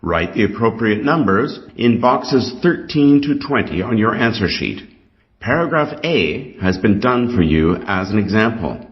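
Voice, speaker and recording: A Canadian man reading scripted test material aloud in a deliberate, instructional way. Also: fairly low pitch, expressive. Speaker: reader of an English exam reading passage. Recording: dull, lo-fi sound.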